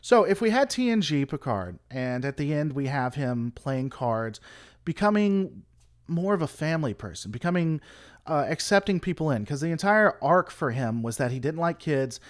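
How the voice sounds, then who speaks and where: A man's voice: fairly high pitch, big pitch swings, normal speed. A man hosting a casual livestream, rambling through an opinionated critique and answering chat. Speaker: livestream host.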